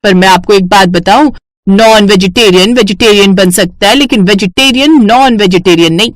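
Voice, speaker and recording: A woman's voice: mid-range voice, moderate pace, dry recording, clean studio sound, natural voice. A woman voicing a vain, nagging young village wife in a story cartoon, whining and insistent. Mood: matter-of-fact. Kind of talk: animated Hindi moral-story cartoon voice acting.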